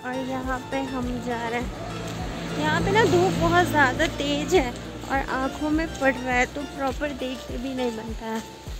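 A woman's voice: high voice, a little slow. A woman in her thirties filming a casual family travel vlog outdoors on a phone held at arm's length, chatting to viewers and pointing out the sights. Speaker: family vlogger. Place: outdoors on streets and in a hotel garden. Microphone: phone camera held at arm's length. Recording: background music over the voice.

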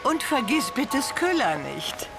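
Female elderly ghoulish countess in a radio play; slow, highly varied delivery, falsely sweet. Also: sing-song, full character voice. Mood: sweetly cruel. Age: older. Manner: theatrical, drawling, falsely sweet. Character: ghoulish, eccentric very old Italian noblewoman, sweetly cruel. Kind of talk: audio drama acting. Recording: loud music behind the voice.

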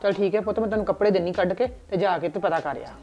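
Woman as a village mother giving orders, low voice, very fast talk, sketch. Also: lo-fi sound, soft background music. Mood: instructing. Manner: steady, instructing. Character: practical village mother planning her daughter's marriage. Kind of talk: village comedy sketch acting.

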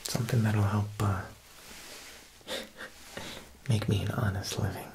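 A young man playing an Italian mob boss tailor in a whispered ASMR roleplay, with an Italian-American accent and a smooth, insinuating manner. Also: on the low side, little pitch movement, a little hiss or hum, poor audio. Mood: reflective. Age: young adult. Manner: slow, hushed, insinuating, with pauses.

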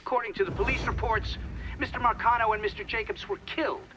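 A man plays an official questioner in a crime game's hearing scene. His voice is high and formal, probing carefully, with clear background noise around it. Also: steady tone, fast, natural voice. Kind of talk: video game hearing scene acting.

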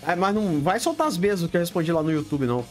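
Blunt, animated Brazilian man streaming. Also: fast, soft background music. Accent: Brazilian Portuguese. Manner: blunt, greets viewers by name, lively swings in pitch. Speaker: livestream host answering viewer questions. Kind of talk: casual live chat. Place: home studio room lit with colored LEDs.

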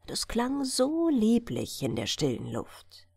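Slow, expressive woman reading a fairy tale. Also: a little high, creaky, breathy edge, no echo. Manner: measured, storytelling delivery. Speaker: audiobook narrator.

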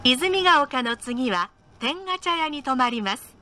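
A woman's voice: high-pitched, animated, a little slow, pushed and bright. A woman reading scripted train stop announcements in a formal, polite way.

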